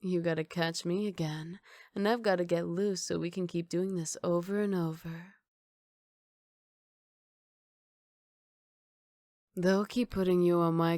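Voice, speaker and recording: A woman playing a flirty, cocky female villain who teases in an unhurried, smooth-talking way, in an ASMR roleplay. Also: lowish voice, darkish tone, no echo, clean studio sound, natural voice. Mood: flirty, sly.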